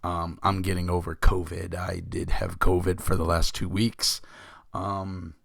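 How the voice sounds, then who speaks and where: Host, fifties, man; very deep gravelly voice, hoarse, rambling casual chat. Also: flat delivery, measured pace, a bit bright, dry recording, studio quality. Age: 50s. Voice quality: rough, gravelly voice. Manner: rambling, many fillers and throat clearing. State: hoarse, recovering from illness. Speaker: tabletop game channel host.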